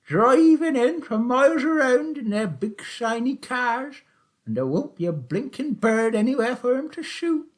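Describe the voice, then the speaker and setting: Man putting on a high cackling old taxi driver. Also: unhurried, exaggerated voice. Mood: gleeful. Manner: high, excited, country-yokel chatter.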